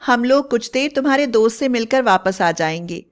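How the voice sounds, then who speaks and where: A young wife with a witch hidden inside her, played by a woman narrator. She speaks high and sweet, with pushy cheer, as in a cartoon bedtime horror story. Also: expressive, moderate pace, lightly acted. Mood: cheerful, insistent. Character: possessed young wife hiding a witch, sugary and eager.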